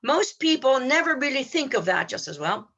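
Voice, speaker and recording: This is a woman with a fairly high, very bright and forward voice. She speaks as a podcast co-host in reflective spiritual talk. Her manner is earnest and measured, as someone philosophizing aloud. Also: big pitch swings, vocal fry.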